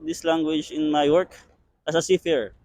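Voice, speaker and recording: A man, giving hesitant, halting answers in a street interview. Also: on the high side, level tone, a little mellow, no room sound. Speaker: seafarer interviewee.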